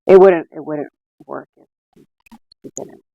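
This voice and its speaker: A woman's voice: low-pitched, a little slow, vocal fry, no room sound, phone-quality sound. Slightly buzzed, chatty woman in her late thirties. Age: late 30s. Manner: animated storytelling with acted-out quotes, lots of "like". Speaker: podcast co-host. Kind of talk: casual boozy chat among friends.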